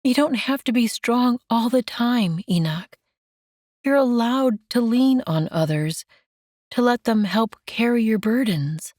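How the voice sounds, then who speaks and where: A woman voicing a caring, gently teasing young woman in an audiobook, tender and earnest in manner. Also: on the high side, lively intonation, unhurried, no echo, studio quality, pitched above the performer's own voice. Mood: tender, encouraging.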